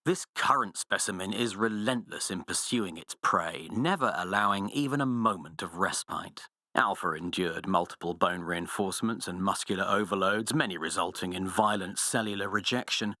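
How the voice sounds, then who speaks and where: An actor playing a cold, pompous, sadistic scientist villain in a video game, speaking with condescending, precise diction. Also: male voice, deep voice, moderate pace, pushed and bright, no room sound, clean studio sound. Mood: clinical detachment. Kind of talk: video game villain voice acting, monologues and research logs.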